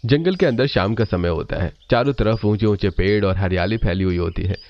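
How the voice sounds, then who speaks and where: एक आदमी जो एक कहानी को स्थिर, सधी हुई आवाज़ में सुनाता है।. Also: male voice, fairly low pitch, fairly quick, highs cut off, a little hiss or hum. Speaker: narrator. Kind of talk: scripted story narration.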